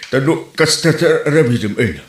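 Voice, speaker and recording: A man's voice: medium pitch, lively intonation, a little slow, close to an everyday voice. A man with an Ilocano accent playing a teasing, mocking villager in a comedy drama. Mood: teasing, amused. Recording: roomy, echoey sound.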